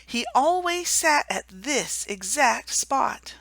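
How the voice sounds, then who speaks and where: A woman voicing a small, gentle mouse who speaks softly in a storybook. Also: high-pitched, very animated, takes it slowly, gravelly, no echo, voice pushed up, exaggerated voice. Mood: tender. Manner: soft-spoken.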